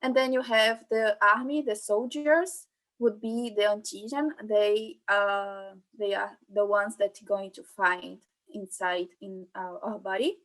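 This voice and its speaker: A woman's voice: medium pitch, steady tone, a little slow, fairly bright tone, low-quality recording. Woman, 20s, Brazilian Portuguese accent, online science talk, hesitant, many fillers, video call mic. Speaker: guest speaker, PhD student. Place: home room, online event. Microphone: computer mic on video call.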